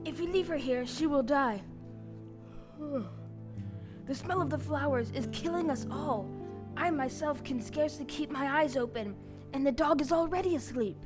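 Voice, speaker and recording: A woman's voice: high voice, normal speed, natural voice. A woman playing an anxious, drowsy cowardly lion in a stage audio play. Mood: worried, drowsy. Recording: live stage recording with music.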